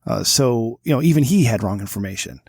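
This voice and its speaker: A man's voice: mid-range voice, expressive, a little fast, vocal fry, a little mellow, slightly rough, no room sound, clean studio sound. A man co-hosting a podcast in casual chat, laid-back and hedging with fillers, laughing often. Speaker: podcast co-host.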